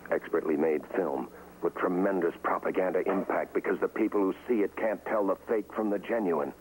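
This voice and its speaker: Male actor for guarded, earnest undercover operative, 1950s TV drama. Low voice, terse, matter-of-fact. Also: a little fast, close to an everyday voice. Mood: tense, grave. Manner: clipped, serious, matter-of-fact. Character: guarded, earnest man working undercover inside a communist cell. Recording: old 1950s TV soundtrack, some noise.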